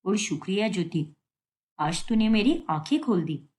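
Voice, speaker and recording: A woman's voice: mid-range voice, animated, moderate pace, darkish tone, lightly acted. A woman voicing a jealous daughter-in-law in a moral-story cartoon. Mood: remorseful. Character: jealous, scheming daughter-in-law, later repentant.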